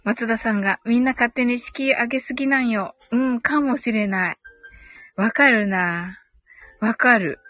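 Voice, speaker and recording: A woman's voice: fairly high pitch, unhurried, fairly bright tone, soft background music. A woman hosting a casual live audio chat, giggly and chatty, reading out comments and murmuring agreement. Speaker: host of a live audio stream.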